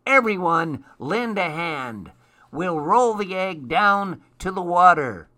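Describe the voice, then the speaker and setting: Guy doing a grumpy old storybook gnome, bossy n scolding, voice raised up, forceful. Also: sits high, animated, takes it slowly, voice pushed up, exaggerated voice. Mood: cross. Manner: scolding, commanding.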